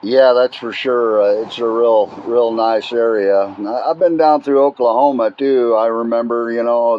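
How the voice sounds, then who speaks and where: A man chatting casually over two-way radio in a laid-back way, often calling the listener "man". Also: mid-range voice. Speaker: amateur radio operator. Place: radio transmission. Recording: muffled, echoey two-way radio transmission.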